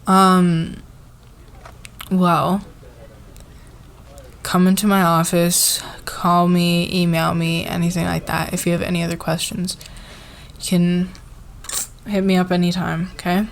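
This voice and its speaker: Female company boss played by a woman, roleplay ASMR: slightly low, halting and casual, flustered, clear background noise. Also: level tone. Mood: awkward, friendly. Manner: halting, hesitant, giggly, informal. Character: flustered, fair-minded female company boss in secret romance.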